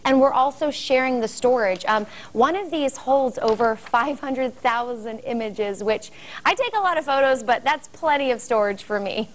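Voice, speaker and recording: A woman's voice: high voice, moderate pace. An American woman in her thirties speaking conversationally as a spokesperson in a promotional TV interview. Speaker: guest spokesperson promoting a product. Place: furnished living-room set indoors. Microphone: lapel mic.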